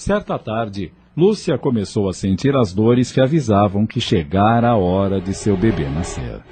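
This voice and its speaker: A man's voice: fairly low pitch, big pitch swings, normal speed, music in the background. A man narrating a scripted audio drama as storyteller.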